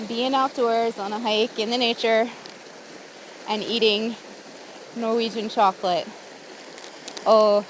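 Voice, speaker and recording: A woman's voice: on the high side, a little hiss or hum. An American woman chatting casually to the camera outdoors by a campfire, laughing often as she talks. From USA. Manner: chatty and giggly, talking to camera while cooking. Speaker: vlogger. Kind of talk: casual outdoor vlog chat.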